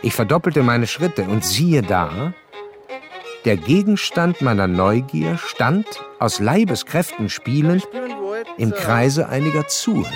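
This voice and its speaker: An actor playing an educated, curious gentleman who narrates a literary radio play in a measured, articulate way. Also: medium pitch, very animated. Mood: observant, intrigued. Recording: studio radio play over faint violin music.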